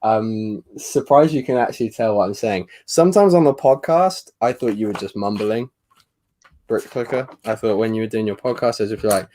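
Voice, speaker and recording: A man's voice: lowish voice, moderate pace, mellow. A young man hosting a live stream, chatting casually with dry, teasing banter and frequent laughter.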